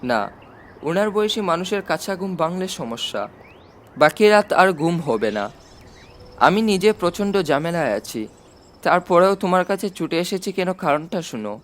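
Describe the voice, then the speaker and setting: A man's voice: high-pitched, sing-song, moderate pace. A man voicing an affectionate, confident army chief uncle in a storybook reading, with a fatherly, explanatory manner. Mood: fatherly, concerned.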